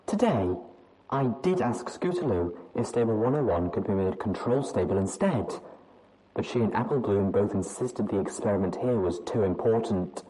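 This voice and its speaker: A woman's voice: very deep voice, expressive, normal speed, warm tone, slight background noise, rough recording. A woman reading aloud as an anxious young adult mare pony who rambles hesitantly and doubts herself. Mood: confiding. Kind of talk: audiobook reading of fan fiction.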